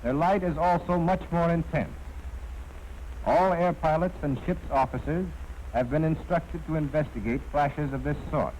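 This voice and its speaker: Higher-pitched 1940s American training film narrator, male. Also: moderate pace, darkish tone. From United States. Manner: precise, formal, instructive. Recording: noisy vintage 1940s film soundtrack.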